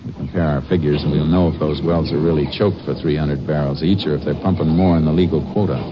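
An American actor playing a steady, determined Texas Ranger in a 1950s radio drama, plain-spoken and folksy as he talks and narrates. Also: extremely low pitch, fast, very noisy. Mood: businesslike. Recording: dull old 1950 radio recording, music behind.

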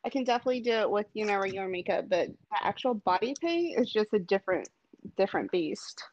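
A woman's voice: mid-range voice, expressive, fast talker, darkish tone. A woman giving casual interview answers, hesitant and self-deprecating. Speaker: interview guest, body-paint content creator. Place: remote phone call. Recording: dull, lo-fi phone call audio.

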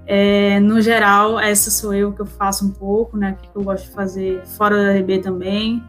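Female interview guest, Northeastern Brazilian accent, informal and slangy, fairly even pitch, light music behind. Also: normal speed. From Recife, northeastern Brazil. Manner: informal, slangy, frequent tag questions. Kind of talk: casual chat.

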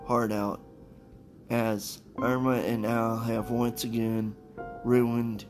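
A man's voice: medium pitch, little pitch movement, slow. A man introducing an episode casually as a podcast host. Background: music.

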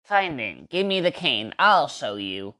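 A woman's voice: deep voice, sing-song, a little slow, heavy background noise. A woman voicing a grumpy, impatient elderly sister who snaps at her siblings and calls them names in an amateur radio drama. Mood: defiant. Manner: snappish, bossy, name-calling. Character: grumpy eighty-something sister, rude and impatient.

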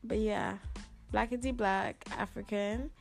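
A woman's voice: mid-range voice, fairly even intonation, unhurried, creaky, faint music behind. A woman in her twenties hosting a casual solo podcast in a sassy, slangy, theatrically complaining way, as if venting to her listeners. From Ghana, lived there six plus years. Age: 20s. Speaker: podcast host.

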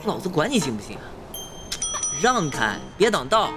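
A man playing a wary, easily exasperated high school student in an audio drama, expressive and grumbling. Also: normal speed, over loud music. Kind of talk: Chinese audio drama acting with first-person narration.

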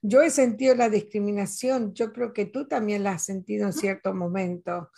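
A woman's voice: mid-range voice, moderate pace, vocal fry, warm tone. An older Latin American woman talking in a casual conversation, earnest and reflective, telling personal stories. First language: Spanish.

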